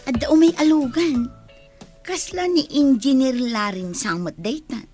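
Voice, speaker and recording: A woman's voice: high voice, unhurried, dark tone, faint music behind. A woman playing a teasing young village friend in a radio drama. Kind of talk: Ilocano radio drama acting.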